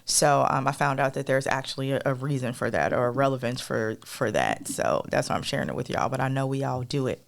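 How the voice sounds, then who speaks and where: A woman co-hosting a podcast in a casual, rambling monologue with a soft, melodic voice, talking straight to listeners as y'all. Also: very deep voice, lively intonation, brisk, gravelly, few pauses. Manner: rambling, addresses listeners as y'all, many fillers. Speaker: podcast co-host.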